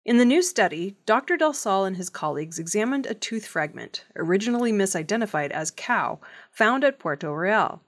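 A woman reads scripted news as a presenter in a measured, formal, clearly enunciated way. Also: mid-range voice, lively intonation.

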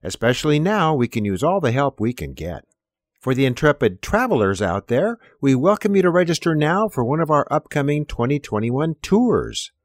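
A man's voice: mid-range voice, no echo, studio quality. A man hosting an audio program, reading scripted headlines and announcements. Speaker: podcast host giving headlines and announcements.

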